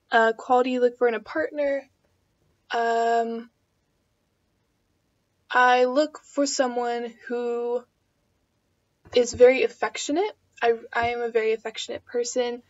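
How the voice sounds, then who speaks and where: A woman in her twenties chatting casually as a vlogger, answering tag questions in a rambling way full of fillers. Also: a little high. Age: 20s. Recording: dull lo-fi home video audio.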